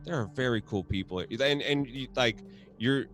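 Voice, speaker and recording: A man's voice: medium pitch, normal speed, a bit bright, faint music behind. A man hosting a casual video chat, laid-back and laughing often, swearing now and then. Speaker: host.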